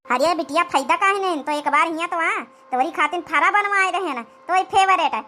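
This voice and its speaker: A woman voicing a scheming, flattering older village mother-in-law in a comedy cartoon, with an Awadhi accent and wheedling, rustic chatter. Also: very high voice, fairly quick. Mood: scheming, coaxing.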